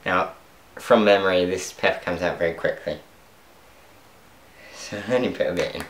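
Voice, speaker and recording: A man's voice: deep voice, normal speed, fairly bright tone. A young man chatting as he cooks in his home kitchen, laughing at his own mistakes and talking to viewers. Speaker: cooking vlogger. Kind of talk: casual cooking commentary. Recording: echoey kitchen, faint clatter of pans.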